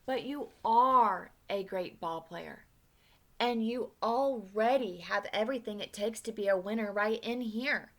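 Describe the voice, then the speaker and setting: A woman voicing a father in a children's storybook, speaking slowly and earnestly to reassure his son. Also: medium pitch, natural voice. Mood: reassuring. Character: warm encouraging father.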